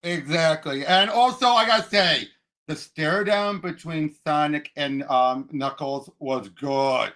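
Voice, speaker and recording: A man's voice: a little high, takes it slowly, a bit bright. A man co-hosting a film discussion show in casual chat, talking in an emphatic, opinionated way.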